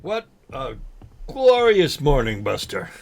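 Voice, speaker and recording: A man playing a forgetful, folksy older man who talks haltingly, in a naturalistic drama. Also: on the high side, huge pitch swings, creaky, full character voice. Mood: contented. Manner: halting, folksy, mild exclamations. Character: forgetful old man, folksy, nervous, teasing lifelong fisherman. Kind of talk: naturalistic short film drama acting.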